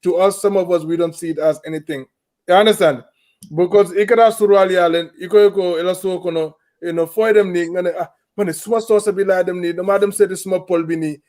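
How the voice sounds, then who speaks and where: Male talk host from Gambia, high-pitched, measured and explanatory. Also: fairly even intonation, a little mellow. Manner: measured, explaining, often asks "you understand?". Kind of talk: panel discussion, mixing English and a local language.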